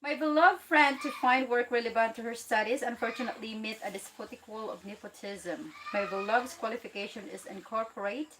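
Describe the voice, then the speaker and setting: A woman from the Philippines hosting a casual livestream, chatty and excitable, reading out viewer comments and laughing easily. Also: fairly high pitch, fairly quick, hardly any pauses, a little hiss or hum, low-quality recording. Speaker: livestream host.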